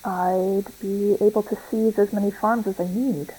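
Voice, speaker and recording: A woman's voice: medium pitch, fairly even intonation, warm tone, husky, low-quality recording. A woman playing a guarded, businesslike leader of a secret militant group in a radio play, speaking formally and curtly. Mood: keen, calculating. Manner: formal, curt, measured.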